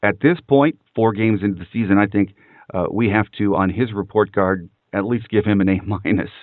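Male interviewer, slightly deep, conversational sports talk, dry and band-limited like a telephone. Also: normal speed, dry recording. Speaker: podcast host. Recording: dry, phone-like narrow band.

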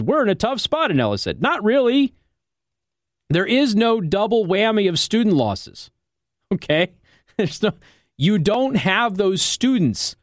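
An American man works as a talk radio host, speaking in an emphatic, conversational monologue. His voice sits slightly high and moves very freely up and down as he makes his points. The sound is dry and close, like a studio broadcast microphone. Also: no echo. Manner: emphatic, argumentative, rhetorical check-ins like "okay?". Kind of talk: opinionated news commentary, conversational monologue.

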